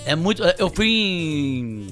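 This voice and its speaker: A Brazilian man co-hosting a podcast, throwing in quick jokes and asides. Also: a little high, very animated, a bit bright, faint music behind. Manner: quick, joking interjections. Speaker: podcast co-host. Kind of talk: casual podcast chat.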